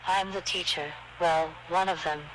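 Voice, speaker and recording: An actor playing a soft-voiced, eerily calm woman teacher in an analog horror story. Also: mid-range voice, moderate pace, a little hiss or hum, close to an everyday voice, rough recording.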